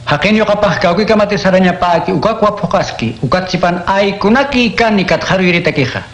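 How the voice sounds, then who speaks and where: Un hombre interpreta a Jesús enseñando con calma y tono instructivo en un radioteatro cristiano. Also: male voice, high voice, fast. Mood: teaching. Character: Jesus, calm adult male teacher. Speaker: voice actor. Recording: echoey room.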